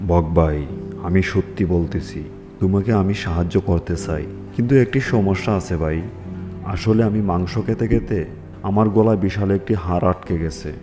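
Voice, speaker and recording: A man's voice: low voice, normal speed, voice pushed down, lightly acted. A man voicing a cunning, wheedling lion in a fable cartoon. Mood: scheming, coaxing. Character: cunning male lion with a bone stuck in throat. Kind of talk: children's fable cartoon dialogue. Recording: loud music under the voice.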